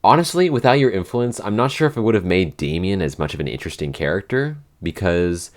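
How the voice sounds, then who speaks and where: A man's voice: deep voice, lively intonation, fast talker. A man chatting casually as a podcast writer in a behind-the-scenes Q&A, often searching for his words.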